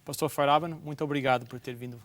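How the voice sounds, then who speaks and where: A man's voice: medium pitch, fairly even intonation, fast talker. A man, a pastor, hosting a television interview and steering it with friendly questions.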